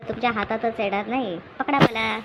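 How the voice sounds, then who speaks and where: A woman voicing an excitable, playful young son in a cartoon family comedy, with an eager childlike manner. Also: fairly high pitch, moderate pace, natural voice. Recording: clear background noise, ambience.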